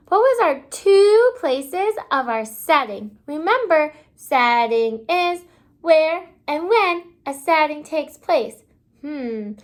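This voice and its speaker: A woman kindergarten teacher reading a storybook aloud to young children in an exaggerated, child-directed way, pausing to ask simple questions. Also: high-pitched. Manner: asks simple questions, pausing as if listeners answer.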